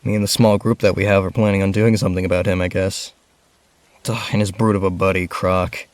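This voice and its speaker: A male actor plays a lonely orphaned rich boy in an audio drama. The voice is lowish and introspective, earnest but hesitant. The recording is dry and close. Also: level tone, brisk, dry recording, close to an everyday voice. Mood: confiding.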